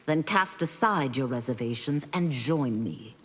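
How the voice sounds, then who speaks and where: A woman's voice: very deep voice, expressive, measured pace, heavily acted. An actress playing an earnest, resolute warrior woman in a video game, speaking with formal intensity. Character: earnest, resolute female warrior seeking redemption. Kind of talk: video game character acting. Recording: long reverb with clear background noise.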